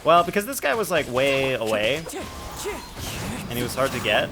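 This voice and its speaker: A man's voice: mid-range voice, sing-song, moderate pace, music in the background. A man livestreaming a video game, chatting casually and rambling as he thinks aloud. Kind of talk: casual live gaming chat and commentary.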